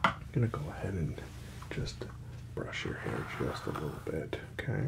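A man in his thirties speaking softly and soothingly in an ASMR hairdresser roleplay, hushed and reassuring. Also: very deep voice, big pitch swings, moderate pace, creaky, heavy background noise. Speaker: ASMR performer playing a hairdresser. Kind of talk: ASMR roleplay, soft-spoken. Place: small indoor room with salon products.

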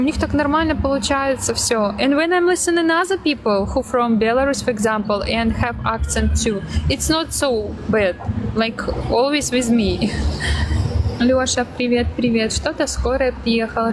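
Young woman with a Russian accent, casual phone livestream on a busy street, slightly high voice, loud traffic behind her. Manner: chatty, switching between broken English and Russian, thanking viewers. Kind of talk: casual livestream chat greeting viewers. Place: outdoors on a windy street. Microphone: phone held close to the face. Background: loud street traffic and wind.

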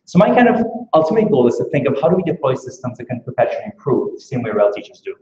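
A man's voice: mid-range voice, big pitch swings, fast talker, mellow. A man from Trinidad and Tobago with a Trinidadian accent, giving an earnest conference talk full of rhetorical questions. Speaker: academic speaker. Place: lecture room. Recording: echoey, dull room recording.

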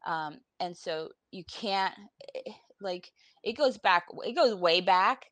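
Blunt, weary woman, low-pitched voice. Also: lively intonation, takes it slowly, a bit bright, no room sound. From Puerto Rico. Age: 40s. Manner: blunt, informal, salty. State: weary, emotionally exhausted. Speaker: podcast guest. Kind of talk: candid personal panel talk. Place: room at home, on a video call.

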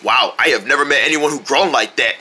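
A performer voicing an excitable, childlike cartoon character in playful, exclamatory banter on a noisy home recording. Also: medium pitch, brisk, natural voice. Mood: teasing.

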